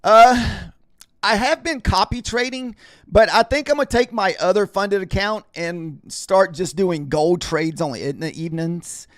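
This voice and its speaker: A man's voice: sits high, unhurried, no echo, studio quality. Chatty man, slightly scratchy. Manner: rambling, chatty, talks to viewers in chat. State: scratchy voice, clearing throat. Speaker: trading livestream host. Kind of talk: casual live-stream chat.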